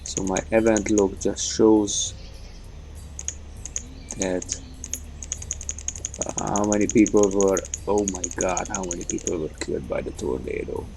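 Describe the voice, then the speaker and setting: Male gaming host, low gravelly voice, halting off-the-cuff commentary over faint noise. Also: fairly even intonation, warm tone. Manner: halting, thinking aloud. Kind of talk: unscripted let's play commentary.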